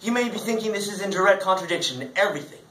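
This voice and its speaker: A man's voice: high-pitched, expressive, very fast, pushed and bright. A man performing a comic stage monologue as a hugely obese, grandiose philosopher, speaking in a theatrical, mock-solemn way. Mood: fervent, exultant. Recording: roomy, distant mic, faint noise.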